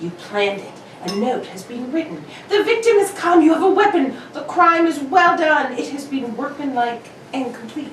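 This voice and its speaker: A brisk and confident gentleman detective, acted by a woman, pitched high and very animated. The reasoning comes out precise and probing, with theatrical emphasis. It sounds like a radio mystery recorded in a roomy space. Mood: emphatic reasoning. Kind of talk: old-time radio mystery acting. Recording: roomy, distant, noisy home recording.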